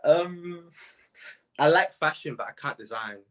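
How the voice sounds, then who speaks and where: A young British man in a casual interview, telling stories in slangy, animated speech full of quoted asides. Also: on the high side, a little slow. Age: young adult. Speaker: music artist being interviewed.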